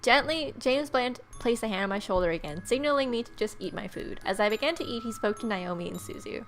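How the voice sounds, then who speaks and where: A woman's voice: fairly high pitch, expressive, brisk, a bit bright. A woman doing playful gaming commentary, reading story text aloud and adding jokey, informal asides. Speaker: let's play host reading the game aloud. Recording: game music behind the voice. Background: game music.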